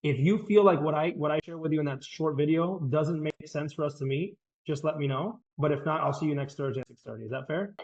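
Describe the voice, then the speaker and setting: Male real estate agent on a phone pitch, persuasive and fast, slightly high voice, dull audio. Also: a little mellow. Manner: persuasive, confident, repeats words as he starts sentences. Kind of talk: sales phone call.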